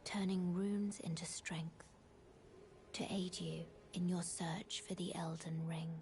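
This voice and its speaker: Slow breathy mysterious maiden from a fantasy game, lowish voice, formal n solemn, bit of rumbly noise behind. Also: female voice, steady tone. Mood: calm, earnest. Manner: formal, measured, hushed. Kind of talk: scripted fantasy game dialogue.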